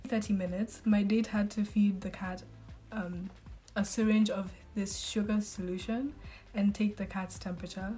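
A woman's voice: mid-range voice, a little mellow, rough recording. A young woman reading a dating story aloud on a podcast in a casual storytelling way, adding sympathetic asides.

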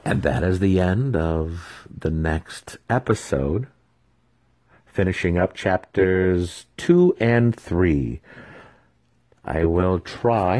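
A man's voice: deep voice, warm tone, no echo. A man giving a dramatic storybook narration as the host of a reading series.

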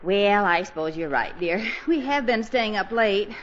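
A woman playing a gentle, fretting middle-aged housewife in 1940s radio comedy style, placating and fluttery. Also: medium pitch, animated, a little slow, close to an everyday voice. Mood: gently placating. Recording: muffled, noisy old radio broadcast recording.

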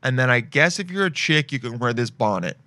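A man's voice: mid-range voice, lively intonation, brisk, sharp tone. A young man hosting a casual podcast, rambling and joking as he browses shopping sites and reads reviews aloud. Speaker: podcast host.

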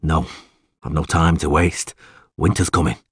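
A man voicing a terse, weary monster hunter with dry wit in an audiobook, speaking in a laconic, deadpan way. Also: extremely low pitch, a little slow, breathy edge, no echo, voice pushed down, full character voice. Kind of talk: audiobook character acting.

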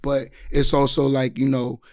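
A rapper with a deep voice, talking in laid-back slang during a casual podcast conversation. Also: male voice, moderate pace, a crowd in the background. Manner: laid-back slangy talk, steady flat delivery. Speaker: rapper guest.

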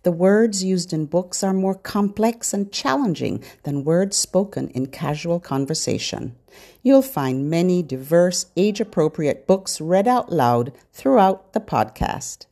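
A middle-aged or older woman hosting a children's podcast, giving scripted, expressive, instructional narration aimed at parents and babies. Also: fairly low pitch, unhurried.

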